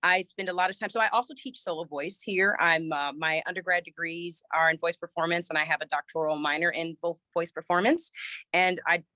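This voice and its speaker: A woman's voice: fairly low pitch, steady tone, a little fast, sharp tone, dry recording. A woman choir director and voice teacher, a little scratchy from a recent cold, answering interview questions thoughtfully with many restarts.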